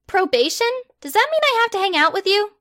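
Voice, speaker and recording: A woman's voice: very high voice, sing-song, a little fast, a bit bright, no echo, pitched above the performer's own voice, exaggerated voice. A woman voicing a sarcastic, exasperated schoolgirl who gives dry, incredulous retorts.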